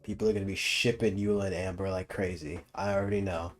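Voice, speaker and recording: A man's voice: sits low, fairly even intonation, fast talker, soft background music. A young man giving casual, joking game reaction commentary, teasing and slangy. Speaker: gaming video host.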